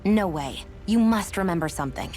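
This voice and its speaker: An actress playing a blunt, skeptical young adventurer in a video game, with a cool, probing delivery. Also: female voice, medium pitch, expressive, a little fast, darkish tone, natural voice. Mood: skeptical, pressing. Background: background music.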